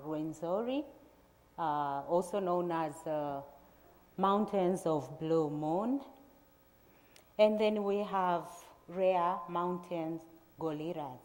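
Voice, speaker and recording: Woman, Tanzanian accent, middle-aged, low voice, measured pauses, reverberant hall. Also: darkish tone. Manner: measured, plain, with frequent pauses. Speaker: agricultural researcher giving a talk. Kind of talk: prepared presentation to an audience.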